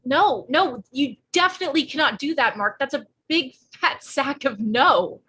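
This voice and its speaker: A woman's voice: sits high, animated, moderate pace, pushed and bright. A woman playing a blunt, slangy, sarcastic sixteen-year-old pregnant girl in a live screenplay read, earnest underneath. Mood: alarmed, insistent. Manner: slangy, flippant, emphatic.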